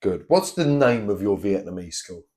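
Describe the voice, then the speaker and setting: A man examining a student in a mock speaking test, giving clear and measured instructions. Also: on the low side, big pitch swings, darkish tone. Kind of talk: mock exam interview.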